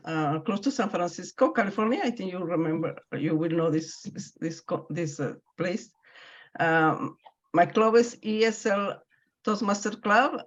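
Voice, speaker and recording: A woman from Mexico, a guest on a video call, introducing herself in a halting way that repeats words. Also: mid-range voice. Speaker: meeting guest. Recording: dull lo-fi call audio.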